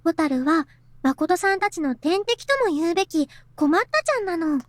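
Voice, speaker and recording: A woman's voice: very high voice, fast, no echo. An actress voicing a cheeky, playful teenage girl in a visual novel. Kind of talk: Japanese visual novel voice acting.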